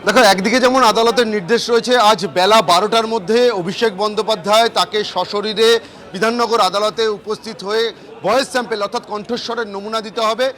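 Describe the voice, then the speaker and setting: A man reporting live outdoors, with street and crowd noise around him. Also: high voice. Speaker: field news reporter. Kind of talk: live on-location reporting and interviewing. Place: outdoors outside a city court.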